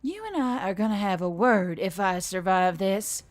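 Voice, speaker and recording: A woman's voice: mid-range voice, lively intonation, moderate pace, dry recording, lightly acted. A woman voicing a gutsy, wry female gunfighter in an action-fantasy audiobook, sardonic and defiant. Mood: irritated.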